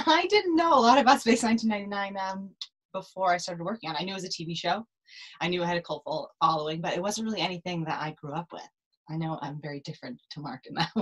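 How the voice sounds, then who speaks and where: A woman chatting informally and playfully as an interview guest on a video call. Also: mid-range voice. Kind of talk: casual chat.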